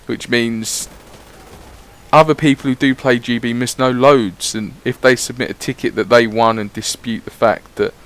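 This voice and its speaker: Rambling lad on gameplay commentary. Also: male voice, medium pitch, steady tone, moderate pace, fairly bright tone. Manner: rambling, chatty, swears casually. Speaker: gaming YouTuber. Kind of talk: casual voice-over gameplay commentary.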